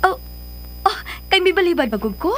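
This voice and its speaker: A woman's voice: very high-pitched, sing-song, moderate pace, fairly bright tone, a little hiss or hum, exaggerated voice. An actress playing a doting but guarded mother of a grown daughter in a radio drama, with a Filipino accent. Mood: uneasy, guarded. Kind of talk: Philippine radio drama acting.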